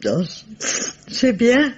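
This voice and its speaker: A woman's voice: a little high, wildly swooping pitch, drawn-out words, breathy edge, full character voice. Stammering, tearful cleaning woman. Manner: hesitant, stammering, blurts things out. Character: flustered, tearful working-class cleaning woman. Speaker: witness. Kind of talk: 1950s radio drama acting. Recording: dull old radio drama recording.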